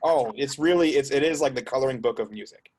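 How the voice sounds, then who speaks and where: Fast-talking, stumbling male host. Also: medium pitch, darkish tone, husky. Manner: rapid, stumbling restarts, eager. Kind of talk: casual interview chat.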